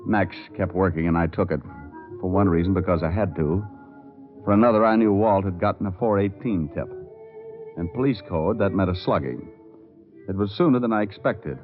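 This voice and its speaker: A man's voice: low voice, moderate pace, lightly acted. An American man playing a wry, weary private detective in 1940s radio drama style, clipped and world-weary. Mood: grim narration. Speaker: detective hero and narrator. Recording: dull old radio recording, music behind.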